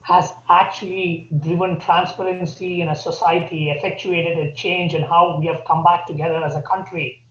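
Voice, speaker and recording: A man with an Indian accent, a podcast guest laying out his points earnestly and deliberately. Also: a little high, flat delivery, fairly bright tone. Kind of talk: podcast interview. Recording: echoey, dull remote audio.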